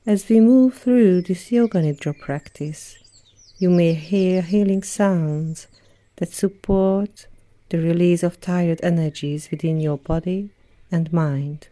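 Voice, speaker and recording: A woman leading a guided yoga nidra meditation as an instructor, speaking in a soothing, gentle manner with long pauses between phrases. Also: on the low side. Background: birdsong and nature sounds.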